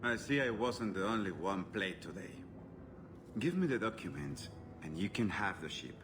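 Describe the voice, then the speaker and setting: Game villain male actor: courtly, mocking crime lord, low and highly animated, over noisy ambience. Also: normal speed, natural voice. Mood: curt, bargaining. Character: smooth, theatrical male slaver crime boss. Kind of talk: video game voice acting.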